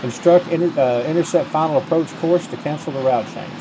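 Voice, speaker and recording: A man's voice: a little high, a little fast, noisy background. A middle-aged man, casually commentating on gameplay and reading instructions aloud. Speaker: gaming video host. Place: indoors at a computer, webcam.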